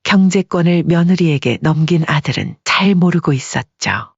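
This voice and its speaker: A woman's voice: lowish voice, moderate pace, breathy edge, no echo. A woman narrating a scripted dramatic audiobook story. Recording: dull lo-fi audio.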